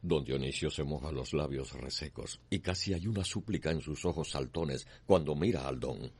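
A man's voice: very deep voice, moderate pace, darkish tone. A man narrating and announcing a radio soap opera in a scripted style. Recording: clear music behind the voice.

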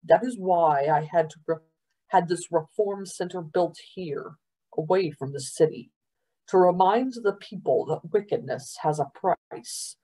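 A woman voicing a condescending male governor in a storybook, with a smooth, measured, pompous delivery. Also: dark tone, poor audio. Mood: solemn. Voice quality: deep, smooth.

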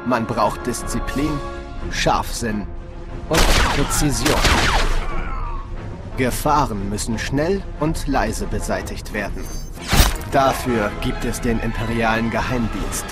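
An actor playing a cold, disciplined secret agent, narrating a game trailer in a deliberate, menacing way. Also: male voice, mid-range voice, loud music behind the voice, natural voice. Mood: cold, resolute.

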